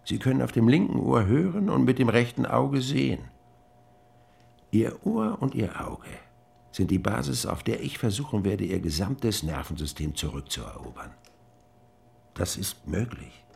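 An actor playing a composed, visionary brain doctor, speaking in a measured, solemn, persuasive way in a radio drama. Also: male voice, medium pitch, very animated, vocal fry, a little mellow, exaggerated voice. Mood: solemn persuasion. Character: composed, visionary male brain doctor coaxing his patient. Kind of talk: German radio drama acting.

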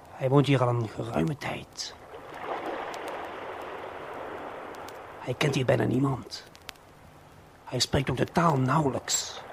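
A Dutch man playing a conspiratorial lookout in an audio play, confiding eyewitness observations to a companion in a halting, curious way. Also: medium pitch, gravelly, noisy background. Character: excited, conspiratorial male lookout spying through binoculars.

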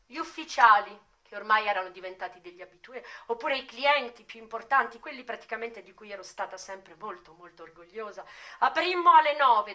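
High-voiced, worldly retired brothel madam. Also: female voice. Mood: nostalgic, relishing. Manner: dramatic pauses, swings between hushed and emphatic. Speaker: actress performing a dramatized memoir monologue. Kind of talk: theatrical first-person monologue for radio.

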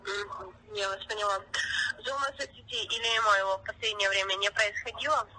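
A woman on a thin phone line speaks in a sharp, very bright tone. She runs through a formal call-center script as a fake bank officer, pushy and insistent. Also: medium pitch, fairly even intonation, normal speed.

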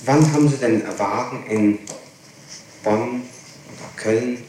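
A very reverberant, noisy old tape of a man conducting a formal interrogation. His voice is medium in pitch, and he puts his questions in a methodical, probing, official way. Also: takes it slowly, dark tone. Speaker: public prosecutor, interrogator. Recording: very echoey, noisy 1960s tape recording.

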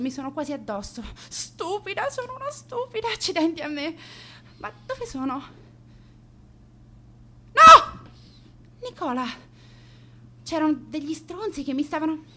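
A woman's voice: high voice, sing-song, normal speed, long pauses, sharp tone, slight background noise, full character voice. A young woman acting a vain, scornful teenage schoolgirl who narrates her days in a theatrical, confiding radio monologue. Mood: anxious, then indignant. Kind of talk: dramatic radio monologue in present tense.

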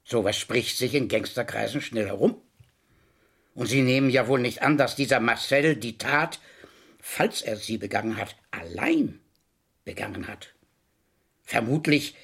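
An actor playing a shrewd, needling middle-aged police inspector in a crime radio play, deliberate and slyly sympathetic. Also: male voice, mid-range voice, gravelly, fairly bright tone, husky, full character voice. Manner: sly, deliberate, feigned sympathy with pauses.

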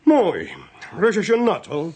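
An actor playing a brisk, self-assured forensic expert in a radio play, formal and commanding. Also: male voice, high voice, huge pitch swings, a little mellow, breathy edge, full character voice.

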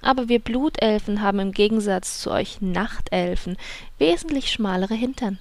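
A woman's voice: medium pitch, moderate pace, lightly acted. A woman playing a vain, haughty blood elf with a catty manner in a comedy fantasy audio drama. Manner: haughty, bitchy.

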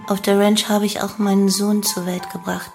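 Cult disciple for radio drama, played by a woman: mid-pitched, calm, unquestioning, soft music underneath. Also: moderate pace, gravelly, natural voice. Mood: devoted, calm. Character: devoted female cult follower recalling her guru's teachings. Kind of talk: German radio play monologue.

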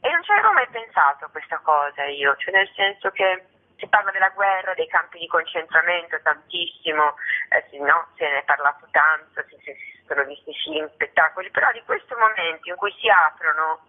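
A woman's voice: low voice, lively intonation, brisk. A woman guest in a radio interview comes through a thin, narrow telephone line. She talks in a spontaneous, discursive way, often starting a thought again in new words. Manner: discursive, often rephrasing mid-sentence. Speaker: guest theatre actress and author.

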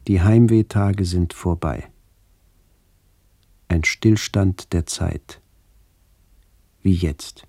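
An actor playing a reflective middle-aged man narrating a literary radio play in a measured, contemplative way. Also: low-pitched, vocal fry, warm tone, no room sound, full character voice. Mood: pensive. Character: reflective man visiting his ageing family.

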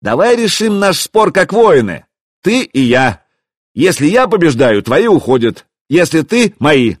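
A man voicing a defiant, mocking warrior chieftain who calls out loudly across a distance in an audiobook. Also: mid-range voice, animated, takes it slowly, dry recording. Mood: loud challenge. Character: defiant, taunting young warrior chieftain shouting challenges.

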